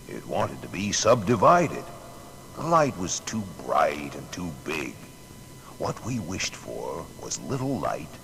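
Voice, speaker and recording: An actor playing a proud male inventor recounting his discoveries in a deliberate, declamatory, gravelly voice for an audio biography. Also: low voice, slight background noise, full character voice, poor audio. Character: Thomas Edison, American inventor recounting his discoveries with pride. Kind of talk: dramatised first-person narration.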